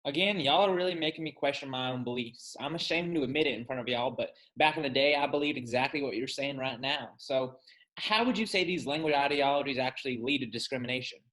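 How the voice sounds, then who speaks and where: A man's voice: mid-range voice, fast, a bit bright, low-quality recording. A man with a Southern US accent speaking as a guest on a scripted panel discussion, earnest and reading from a script.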